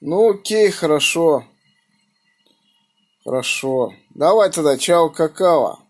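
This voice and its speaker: Man, husky, slightly high pitch, casual slangy radio talk, call signs, phone recording indoors. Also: lively intonation, slow. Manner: colloquial, slangy. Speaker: amateur radio operator. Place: small room indoors beside radio equipment. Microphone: phone held near the radio.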